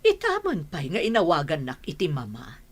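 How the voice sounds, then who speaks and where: A woman's voice: low-pitched, huge pitch swings, moderate pace, breathy edge, exaggerated voice. A woman acting a welcoming, easygoing middle-aged mother in an amateur audio drama. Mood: placating.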